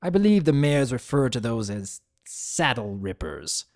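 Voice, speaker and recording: A man's voice: mid-range voice, big pitch swings, measured pace, a little mellow. A man reading fan fiction as a dramatic, scripted first-person narration.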